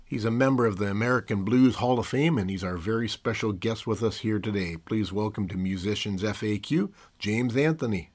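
A man's voice: fairly low pitch, a little fast, few pauses, darkish tone. Male interview host, hesitant restarts. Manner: friendly, hesitant, restarts phrases. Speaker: podcast host.

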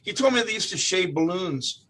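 An older man from Canada, a guest musician telling stories about his family in a relaxed, rambling way, often repeating words. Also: high voice, moderate pace, slightly rough. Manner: rambling, repeats words, animated when quoting. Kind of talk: relaxed storytelling.

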